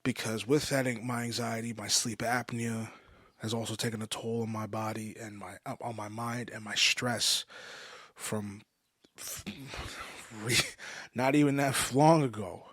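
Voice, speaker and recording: A man in his mid twenties giving a personal podcast monologue, halting and often restating himself for emphasis. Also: mid-range voice, fairly even intonation, fairly bright tone, no room sound. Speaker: podcast host. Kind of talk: personal monologue, confessional storytelling.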